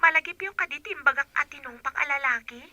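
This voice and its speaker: A woman's voice: high-pitched, fast, pushed and bright, no room sound. A woman playing a respectful, earnest young daughter in an Ilocano radio drama. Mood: questioning.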